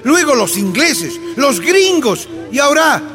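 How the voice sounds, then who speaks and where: A man's voice: very high voice, sing-song, a little slow, music in the background, full character voice. A man with a Bolivian accent playing a poor, desperate, plain-spoken miner in a radio drama. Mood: indignant.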